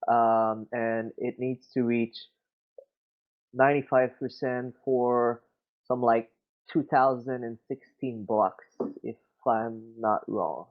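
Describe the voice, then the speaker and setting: A man's voice: medium pitch, fairly even intonation, slow. French-Canadian man on a tinny voice-chat line. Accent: Québécois French. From Quebec City, Canada. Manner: hesitant, many fillers and restarts, thoughtful. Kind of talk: casual discussion.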